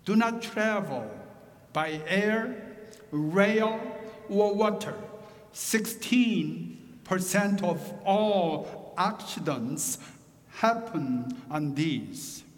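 A middle-aged man, a pastor whose first language is Korean, preaching a sermon with a Korean accent, slow and deliberate with joking asides. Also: sits high, expressive, in a large hall.

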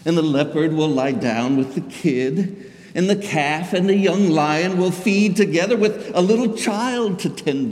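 A man giving a solemn, theatrical scripture reading in church, measured and declamatory, with swells of emphasis. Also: medium pitch, darkish tone. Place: large indoor church. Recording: echoey, long reverb of a church.

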